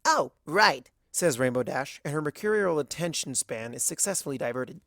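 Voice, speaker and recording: A narrator giving an expressive first-person reading of a fan fiction story. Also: mid-range voice, brisk, dry recording, studio quality.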